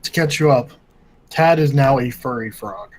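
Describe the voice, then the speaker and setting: A man's voice: mid-range voice, moderate pace, crowd noise, low-quality recording. A man playing a tabletop game, chatting playfully with the group. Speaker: player. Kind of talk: casual chat.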